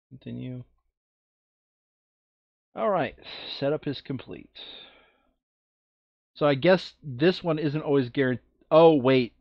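A man's voice: medium pitch, dry recording. A man playing a board game, talking through his moves and reading cards aloud in a casual, muttering way. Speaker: player in a tabletop board game playthrough. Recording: dull lo-fi close mic.

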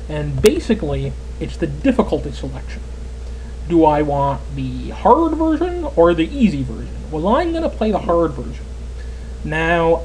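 A man's voice: fairly high pitch, darkish tone, a little hiss or hum, poor audio. A Canadian man in his twenties giving casual, rambling gameplay commentary as a let's player. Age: 20s. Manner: chatty, rambling, lots of fillers.

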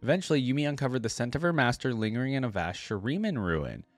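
A man's voice: medium pitch, sing-song, fast talker, no room sound, soft background music. A young man hosting a reaction video, reading a story aloud and adding casual commentary, close on a desk microphone. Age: young adult. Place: indoor room at a streaming setup.

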